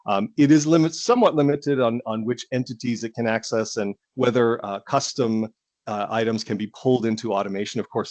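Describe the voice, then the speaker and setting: A man presenting business software in an explanatory way, with somewhat hesitant phrasing. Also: fairly low pitch, no room sound. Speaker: webinar presenter.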